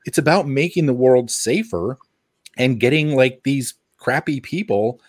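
A middle-aged man co-hosting a casual podcast with a lively, swinging pitch. He speaks earnestly and holds firm opinions. The sound is dry, without room echo. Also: measured pace. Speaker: podcast co-host.

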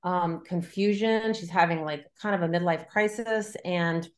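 A woman's voice: on the low side, a little slow. A middle-aged woman talking casually as an interviewed novelist, thinking aloud in loose, looping sentences. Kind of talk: casual conversational interview about writing.

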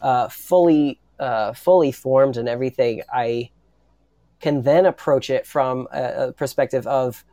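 A man's voice: medium pitch, measured pace, mellow, no echo. A man talking reflectively about his creative work in a panel discussion, earnest and hesitant, often repeating words as he goes. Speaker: writer and voice actor on an audio drama.

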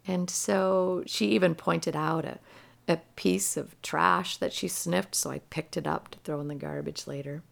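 A woman gently telling a personal story about healing a rescued dog, her voice soft and often dropping to a near whisper. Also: fairly low pitch, fairly even intonation, creaky. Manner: gentle and slow-paced. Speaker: animal healer.

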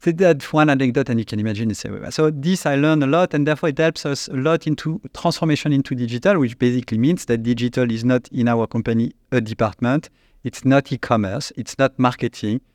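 A man with a French accent, a company CEO, answering interview questions in a halting, searching way with frequent restarts. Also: medium pitch, a little mellow, dry recording, studio quality. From France. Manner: halting, restarts often, searches for English words.

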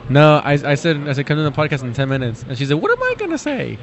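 A young man talks fast and loose on a podcast mic. His voice sits a little high, and his stories run on with swearing and bursts of laughter. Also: sing-song. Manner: rambling, swearing, storytelling with laughter. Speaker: podcast co-host. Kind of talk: casual podcast chat. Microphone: studio podcast mic.

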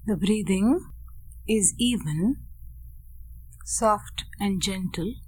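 A woman guides a meditation at a very slow pace. Her pitch is medium, and she speaks deliberately in short, measured phrases, leaving long pauses between them as a teacher would. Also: a little mellow, breathy edge, no echo.

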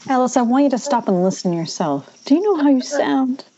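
Woman as caring female friend, soft dark tone, firm, muffled online call. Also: high-pitched, expressive, quick pace. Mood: concerned, firm. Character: concerned, soothing young female best friend. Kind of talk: amateur screenplay table read. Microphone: internet voice call. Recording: dull lo-fi internet call.

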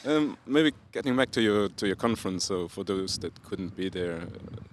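A man's voice: low-pitched, lively intonation, moderate pace, slight background noise. A man hosting a podcast interview, speaking with frequent hesitations; a Luxembourgish native speaking English. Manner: hesitant, many uhs. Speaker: podcast host. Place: outdoors by a venue.

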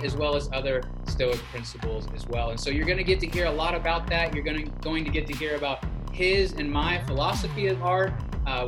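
An enthusiastic male podcast host talks in a loose, chatty way, full of "uh" and "um" as he goes. His voice sits a bit high, and music plays clearly behind him. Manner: enthusiastic, chatty, many fillers. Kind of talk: interview podcast intro and chat.

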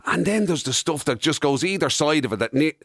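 A man's voice: fairly high pitch, lively intonation, brisk, a bit bright, no echo, studio quality. A middle-aged Irish man co-hosting a radio show, telling rambling observational stories as casual comic banter.

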